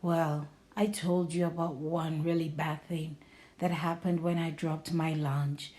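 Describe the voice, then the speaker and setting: A woman's voice: low-pitched, little pitch movement, a little slow, a little mellow. A woman voicing a glum, reluctant young schoolboy grumbling about his bad day in a storybook reading.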